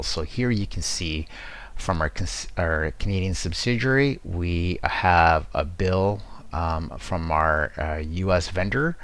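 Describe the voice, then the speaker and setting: A man giving an informal, explanatory screen-share tutorial as a software demo presenter, talking conversationally into a close mic. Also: low voice. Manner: explanatory, conversational, checks in with "right?".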